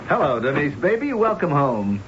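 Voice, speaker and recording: An actor playing a greedy, menacing schemer in a radio drama, with an American accent and slangy talk that swings between cajoling and threatening. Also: male voice, on the high side, sing-song, moderate pace. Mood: smug, flirtatious. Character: smooth-talking male schemer, menacing and greedy. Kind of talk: 1970s radio drama acting. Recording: muffled old radio recording, faint noise.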